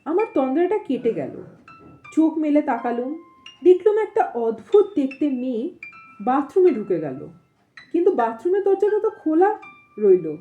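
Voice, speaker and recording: A woman reading a story aloud as an audio storyteller, in an expressive, suspenseful narrating manner. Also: high-pitched, moderate pace, long pauses, narrow, tinny audio. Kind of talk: dramatic reading of a Bengali ghost story with casual asides.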